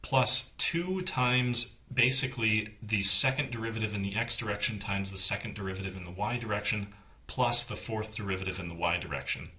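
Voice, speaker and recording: A man's voice: lowish voice, sharp tone. A man giving an explanatory technical lecture as an engineering instructor, explaining things plainly and step by step.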